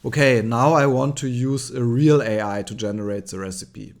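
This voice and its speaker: A man with a German accent hosting a relaxed screen-share tutorial, thinking aloud with frequent ums and likes. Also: mid-range voice, normal speed, vocal fry. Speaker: tutorial host.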